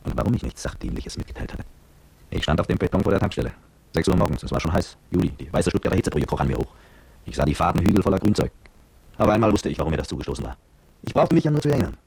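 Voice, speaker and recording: An actor playing a brooding petrol-station attendant and former soldier, narrating his memories in terse, reflective radio drama style with a gravelly voice. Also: sits low, very animated, very fast, a little mellow, no echo, exaggerated voice, poor audio. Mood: brooding, uneasy. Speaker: narrator and protagonist. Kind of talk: literary first-person radio drama narration.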